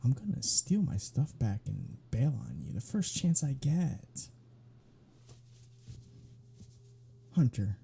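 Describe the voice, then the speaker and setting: Sly flirtatious male vampire played by a man for ASMR roleplay: very dark, breathy voice, sardonic teasing drawl. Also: mid-range voice, very animated, unhurried, exaggerated voice. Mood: wry, needling. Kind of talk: ASMR roleplay audio drama.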